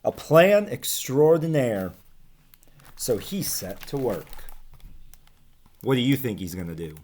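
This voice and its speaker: A young man reading a children's storybook aloud in an expressive, deliberate way. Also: medium pitch. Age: young adult. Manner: animated, deliberate, asks listeners questions. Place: small room with bookshelves.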